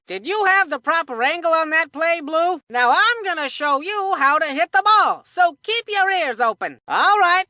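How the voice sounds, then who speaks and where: A woman's voice: high-pitched, bright, forceful tone, dry recording, clean studio sound, full character voice. A woman voicing a folksy, confident boy baseball player in a cartoon video game, chatty and full of old-fashioned exclamations. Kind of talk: cartoon video game voicelines, sports banter.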